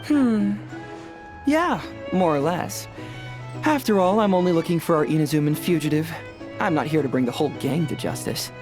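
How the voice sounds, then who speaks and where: Breezy, animated young detective played by a man. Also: a little high, over music, lightly acted. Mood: curious, courteous. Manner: chatty, theatrical, courteous, quick to amuse. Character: cheerful, breezy young male detective from a fantasy land. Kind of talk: animated game voice acting.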